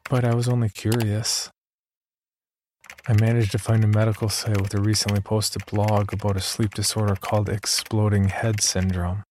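A man performing scripted first-person horror narration as an uneasy young man, in a measured, confiding way with deliberate pauses. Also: on the low side, background noise, lightly acted. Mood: reflective, uneasy. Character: uneasy young man recounting his sleep disorder. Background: background music.

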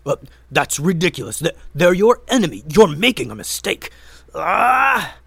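A man voicing a scheming male wizard villain who talks loudly and in a flustered way. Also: fairly high pitch, sing-song, moderate pace, gravelly, breathy edge, dry recording, pitched above the performer's own voice, heavily acted. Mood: panicked. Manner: loud, flustered, commanding then pleading.

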